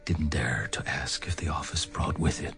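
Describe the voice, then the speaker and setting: An actor playing a grave storyteller in a gothic audio drama, narrating in a hushed, deliberate way with dramatic pauses. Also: male voice, extremely low pitch, in a whisper, soft background music. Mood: ominous. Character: grave, measured male storyteller of a gothic tale.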